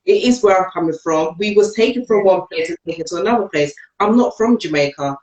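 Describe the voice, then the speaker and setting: A woman's voice: on the low side, animated, fast, a little mellow, reverberant, rough recording. A woman from England co-hosting a live chat, speaking forthrightly and with emphasis. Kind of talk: live-stream chat show discussion. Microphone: video call.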